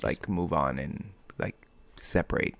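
A man's voice: very deep voice, measured pace, vocal fry, a bit bright, no room sound. An American man talking casually as a podcast guest, with informal, laid-back phrasing. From United States. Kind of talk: casual conversational interview.

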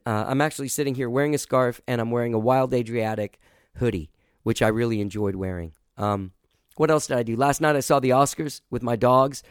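A hoarse man hosts a casual talk show, chatting in a loose, rambling way with plenty of "uh"s and restarts. His voice sits in an ordinary middle pitch. The recording is dry, with little room sound. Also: no echo. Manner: rambling, enthusiastic, with many fillers and restarts. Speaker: show host.

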